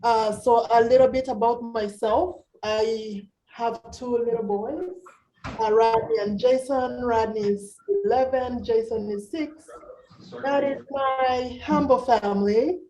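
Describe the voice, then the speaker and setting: Slow, halting woman, roomy echo. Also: fairly high pitch, steady tone, mellow, distant mic, slight background noise. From Uganda. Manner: halting, many fillers. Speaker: nurse practitioner presenting. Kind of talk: informal presentation at a meeting.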